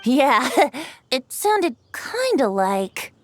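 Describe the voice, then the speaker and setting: Video game bard, young male: high, very lively pitch, playful, teasing, theatrical storyteller. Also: extremely slow, slight background noise, full character voice. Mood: amused reminiscing.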